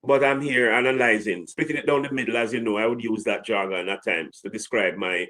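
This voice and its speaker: A man with a Caribbean English accent, a co-host analysing sport in a halting, careful way. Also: on the low side, a bit bright. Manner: halting, many fillers and restarts.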